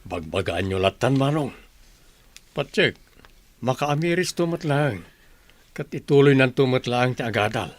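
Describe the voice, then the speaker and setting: A man's voice: medium pitch, lively intonation, a little slow, pauses often, natural voice. A man playing a respectful, reassuring middle-aged father and younger brother in a radio drama. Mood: earnest, reassuring.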